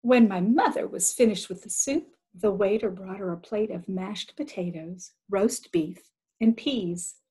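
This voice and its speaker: A woman reading a children's picture book aloud as an expressive storyteller. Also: medium pitch, a little slow, breathy edge.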